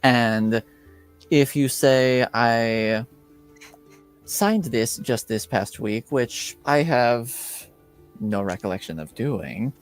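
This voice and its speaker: Man acting a stern, slow-speaking university dean. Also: medium pitch, animated, soft background music. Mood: skeptical, stern. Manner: measured, authoritative.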